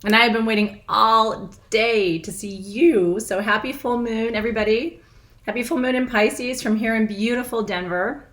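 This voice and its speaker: A middle-aged woman with a froggy, hoarse voice, hosting a live online ritual from her small house in casual, bubbly chat, laughing often. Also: a little high, normal speed, fairly bright tone. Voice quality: froggy, husky. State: hoarse, nearly lost voice. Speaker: spiritual teacher hosting a live full moon ritual.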